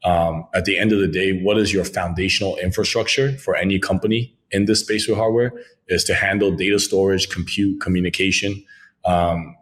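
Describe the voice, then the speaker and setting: Deep-voiced man pitching his crypto venture. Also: steady tone. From Congo. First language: French. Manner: fluent, jargon-heavy, fast talk with many "like" and "right". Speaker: crypto company founder, guest. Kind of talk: podcast interview, business pitch.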